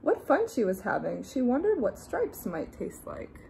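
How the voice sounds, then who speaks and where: A young adult woman reading a picture book aloud to young children, expressive and deliberate, with playful asides. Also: a little high, vocal fry, slight background noise, rough recording. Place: small room indoors.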